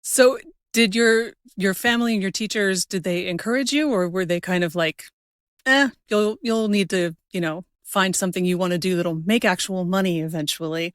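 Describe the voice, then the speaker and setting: A woman's voice: medium pitch, normal speed, no echo, clean studio sound. A woman speaking as the host of a conversational interview podcast. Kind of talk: scripted introduction and conversational interview.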